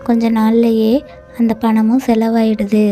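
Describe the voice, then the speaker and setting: A woman narrating a cartoon story in an even, storytelling manner. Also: fairly high pitch, unhurried. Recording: light music bed.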